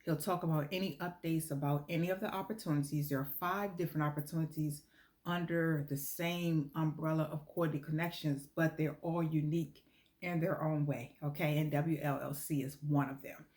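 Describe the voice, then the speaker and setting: A woman's voice: sits low, fairly even intonation, fairly quick, a little mellow. An American woman talking informally in a promotional video, explaining earnestly and repeating points for emphasis. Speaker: lottery club promoter.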